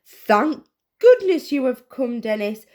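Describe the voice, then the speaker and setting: A woman voicing a proud, gushing, loud mum of a schoolboy footballer in a children's story. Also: high voice, big pitch swings, takes it slowly, pitched above the performer's own voice, heavily acted. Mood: urgent, gushing. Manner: gushing, shouting encouragement.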